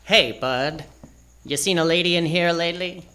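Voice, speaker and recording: An actor playing a slick, sarcastic, curt customer in a 1940s radio drama. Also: male voice, on the high side, moderate pace. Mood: impatient. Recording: live theater stage, loud music underneath.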